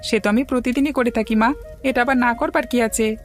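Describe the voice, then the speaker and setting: A young village schoolboy in a cartoon, voiced by a woman. He sounds high-pitched, plainspoken and helpful, with a grumbling streak. Music plays behind the lines. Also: fairly even intonation, a little fast, close to an everyday voice. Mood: willing. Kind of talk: cartoon dialogue acting.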